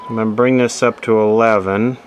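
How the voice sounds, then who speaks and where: A man's voice: on the low side, fairly even intonation, a little fast, very noisy. A man casually demonstrating a radio repair at his workbench, explaining each step plainly as he works. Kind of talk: casual hands-on workshop demo.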